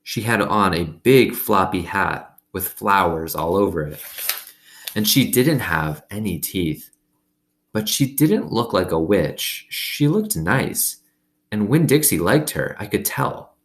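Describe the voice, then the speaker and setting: A man's voice: sits low, expressive, slow pace. A man reading a children's story aloud expressively, with casual asides to his listeners. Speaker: reader reading a children's novel aloud to students.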